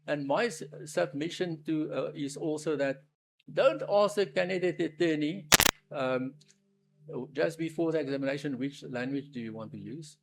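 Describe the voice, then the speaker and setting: Brief: Afrikaans-speaking man, South African accent, gravelly voice, halting formal submission as an attorney at a public hearing. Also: fairly high pitch. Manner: halting, often restarting and searching for words.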